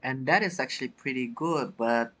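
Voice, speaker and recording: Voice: mid-range voice, moderate pace, a bit bright, a little hiss or hum. A streamer giving casual, dramatic gameplay commentary, reacting to the story and often repeating phrases. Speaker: streamer playing a game. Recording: game music behind.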